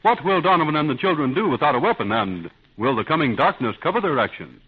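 A man's voice: on the high side, big pitch swings, fast talker, breathy edge. A man announcing a 1930s radio serial in a melodramatic, suspenseful style. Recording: tinny, narrow 1930s radio recording.

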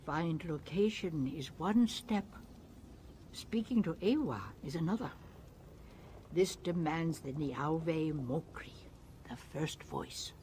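A woman playing a solemn, mystical alien spiritual leader in a video game, with a ceremonial and deliberate manner. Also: low voice, slight background noise. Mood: mystical, instructive. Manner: ceremonial, deliberate, breaking into hushed whispers.

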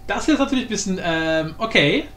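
An echoey room recording with faint noise carries a man's voice, lively and swinging in pitch. He talks casually and slangily, muttering to himself as he works through a coding tutorial. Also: fast. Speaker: tutorial host and hobby game programmer. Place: indoor room, voice over screen capture. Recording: roomy echo, faint background noise.

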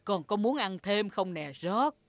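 A woman's voice: mid-range voice, lively intonation, measured pace, no echo. An actress playing a loving old grandmother pig in a children's cartoon dub, affectionate and encouraging. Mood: coaxing. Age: older. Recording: music behind the voice.

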